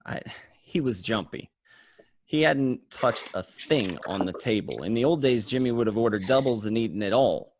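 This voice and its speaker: A man's voice: lowish voice, animated, moderate pace, darkish tone, slight background noise. A man acting an anxious, weary mobster on the run in an amateur radio play, moving between confession and tense dialogue. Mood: reflective. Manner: first-person confessional narration and tense dialogue.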